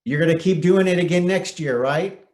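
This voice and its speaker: A man's voice: fairly high pitch, moderate pace, darkish tone, soft background music. An American man hosting a community event over a video call, speaking as an announcer and presenter. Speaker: event host, news anchor.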